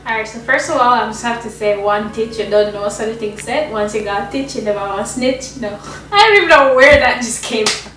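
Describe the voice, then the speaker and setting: A woman's voice: on the high side, animated, fairly quick, a bit bright, distant mic. Chatty woman from Jamaica, echoey kitchen. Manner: chatty, rambling, laughs often. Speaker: vlogger being interviewed. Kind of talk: casual chat while cooking. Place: home kitchen.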